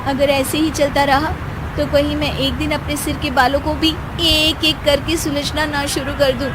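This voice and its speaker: A woman acts a young Indian daughter-in-law in a cartoon tale. Her voice is high, dutiful and anxious, meek in replies and fretting to herself. Clear urban noise sits behind her. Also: level tone, normal speed, close to an everyday voice. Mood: flustered. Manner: meek when answering, fretful when muttering to herself. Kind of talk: acted Hindi cartoon moral story.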